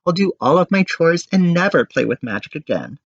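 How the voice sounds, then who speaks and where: Man acting a remorseful, wailing young oaf, raised pitch. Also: sing-song, a little fast, no echo, dull audio. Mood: earnest pleading. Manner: howling, sobbing, pleading. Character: big foolish young man, remorseful and tearful.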